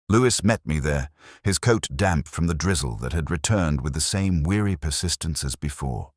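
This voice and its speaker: A man narrating a scripted mystery as a brooding police detective, in a measured, literary and contemplative manner. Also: very deep voice, dry recording, clean studio sound. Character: brooding male police detective recounting a murder investigation.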